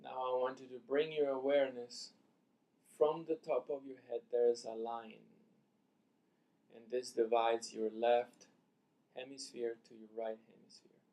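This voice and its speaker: Mellow man guiding a slow meditation. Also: medium pitch, little pitch movement, low-quality recording. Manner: slow, deliberate, long pauses between phrases. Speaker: meditation teacher. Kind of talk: guided meditation instruction.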